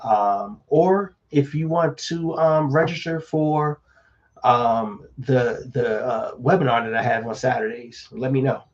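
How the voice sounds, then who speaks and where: A slightly hoarse man talks through home-buying advice in an easygoing, rambling live-stream style. His pitch sits mid-range, and the audio is a little dull and lo-fi. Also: a little slow. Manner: relaxed, conversational, many fillers and restarts. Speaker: real estate agent.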